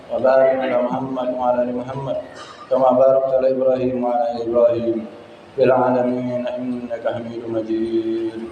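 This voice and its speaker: A man's voice: medium pitch, a little hiss or hum. A male preacher leading a fervent, pleading prayer at the close of a sermon. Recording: echoey hall, dull lo-fi sound.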